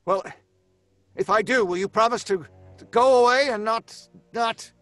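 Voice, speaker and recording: A cornered, anxious writer in old-time radio style. His voice sits fairly high, and he comes across as stubborn yet flustered and hesitant. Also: moderate pace, fairly bright tone, no echo, soft background music. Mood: defiant, flustered.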